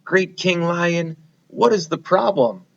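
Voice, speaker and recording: A man's voice: sits high, lively intonation, slow, creaky, pitched above the performer's own voice, full character voice. A man voicing a small, timid but brave female mouse who speaks politely and pleads, in a storybook read-aloud. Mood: earnest. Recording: dull lo-fi recording.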